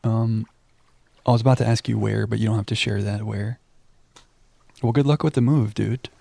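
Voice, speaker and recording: Mellow, creaky-voiced male game streamer. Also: on the low side, steady tone, moderate pace, no echo. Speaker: livestream game host. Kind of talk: casual chat with viewers, reading game text aloud.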